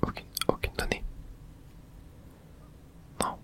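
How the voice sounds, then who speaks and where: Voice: slow, fairly bright tone. Whispered ASMR gamer commentary. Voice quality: breathy unvoiced whisper. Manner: informal, mild swearing, muttering reactions to the game. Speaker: gamer streaming playthrough for viewers. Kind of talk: whispered ASMR video game let's play commentary.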